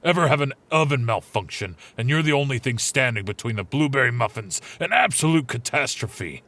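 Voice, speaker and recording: Outraged forties blowhard, comedy audio drama: melodramatic interrogator, big swings in pitch. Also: male voice, animated, fairly quick, a bit bright. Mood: bitter, grandiose. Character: indignant forties man, self-styled bakery factory veteran.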